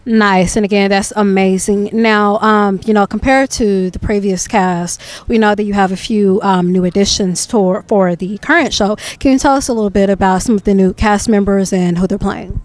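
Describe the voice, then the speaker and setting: Female talk show host, upbeat interviewer, mid pitch, dry close sound. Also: steady tone, a little mellow, no echo. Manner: upbeat, with quick affirmations and hesitant fillers. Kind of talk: interview hosting.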